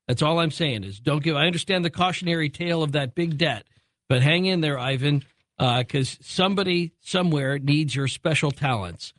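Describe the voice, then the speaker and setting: A man hosting a call-in radio show, talking briskly and encouragingly as he hurries to fit in callers. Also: on the high side, a bit bright, dry recording. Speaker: radio talk show host.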